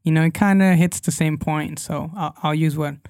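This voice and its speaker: A man's voice: a little high, fairly even intonation, moderate pace, darkish tone, dry recording, studio quality. A young man whose first language is Spanish, chatting as an interview guest and often hesitating as he searches for English words. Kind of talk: casual interview chat.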